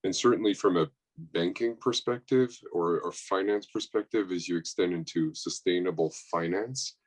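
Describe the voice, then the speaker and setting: A man speaking as a panelist from a bank, measured and thoughtful with many small restarts. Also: sits low. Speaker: panelist, bank sustainability executive. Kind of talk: panel discussion answer.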